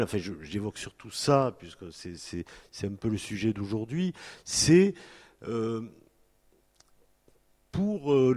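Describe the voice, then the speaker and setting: A man in his fifties from France, speaking at a panel in a halting way with many fillers and restarts. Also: medium pitch, a little mellow. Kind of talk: hesitant spoken talk at a public panel. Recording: long hall reverb.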